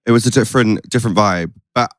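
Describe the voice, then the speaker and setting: A man's voice: on the low side, quick pace, no echo, studio quality. A man hosting a podcast in a casual, playful, chatty way. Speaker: podcast host. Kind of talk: casual chat.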